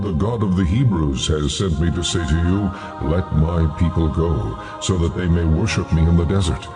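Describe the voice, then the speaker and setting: A very deep, solemn divine male voice, played by an actor, declaring and commanding with stately authority in a scripture audio drama. Music plays clearly behind the words. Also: mellow, natural voice. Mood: stern.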